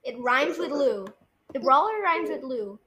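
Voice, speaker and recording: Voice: high voice, animated, measured pace, bright, forceful tone, slight background noise. A young person, child or early teen, hosting a casual guessing game in informal chat. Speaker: podcast host.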